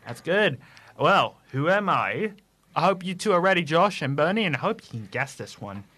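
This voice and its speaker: A man with a slightly raised voice hosts a casual quiz segment for a radio show. He reads his clues haltingly and often stumbles and starts over. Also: fairly high pitch, big pitch swings, pushed and bright, breathy edge. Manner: halting reading with stumbles and restarts.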